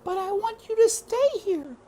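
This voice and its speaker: A small, frightened little girl begs in a tearful, very high voice, as a man reading aloud puts her on. Her pleading rises and falls widely, and it plays like a storybook character. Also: expressive, moderate pace, warm tone, a little hiss or hum.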